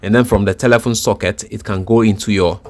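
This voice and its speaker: Low-pitched man giving a methodical tech tutorial. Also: steady tone, fast talker, slight background noise. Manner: methodical, repeats points for emphasis. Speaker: instructor. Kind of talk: explanatory tech tutorial, unscripted.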